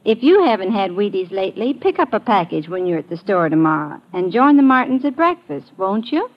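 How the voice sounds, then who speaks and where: Woman, Kentucky Southern accent, medium-pitched, scripted wifely testimonial for an old radio commercial. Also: sing-song, fairly quick. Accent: Kentucky Southern American. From Kentucky, United States. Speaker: announcer's wife giving testimonial. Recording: dull old radio recording.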